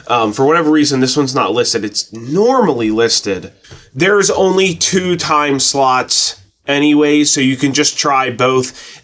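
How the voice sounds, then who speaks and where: A man's voice: medium pitch, a bit bright. A man giving a casual tutorial in a plainspoken, folksy, colloquial way as a presenter. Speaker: presenter of a radio tutorial channel. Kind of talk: casual explanatory tutorial talk.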